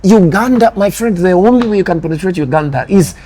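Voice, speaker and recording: Middle-aged Kenyan pastor, ex-dancehall artist; warm, dark voice, emphatic and animated in conversation. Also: male voice, high voice, quick pace. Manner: emphatic, builds up excitedly when boasting. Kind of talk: casual interview talk.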